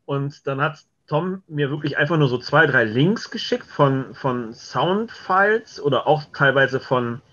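A man's voice: fairly high pitch, lively intonation, unhurried, few pauses, pushed and bright. A man in his thirties telling stories casually as an interview guest on a video call. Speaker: audio drama maker, interview guest. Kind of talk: casual chat, telling anecdotes. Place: room lined with shelves, on a video call. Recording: dull lo-fi video call audio.

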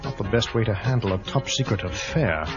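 An actor playing a cool, wisecracking secret agent in a 1980s storybook recording, with dry humor. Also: male voice, on the low side, a little fast, natural voice. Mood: wry. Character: suave British secret agent, cool and wry. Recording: dull lo-fi tape with music.

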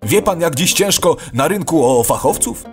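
Radio play neighbour, played by a man: highish, swooping delivery, emphatic, exasperated yet helpful explainer. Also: animated, normal speed, faint music behind, lightly acted. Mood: impatient. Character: patient but exasperated tidy neighbour, persuasive and practical. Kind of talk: scripted radio play dialogue.

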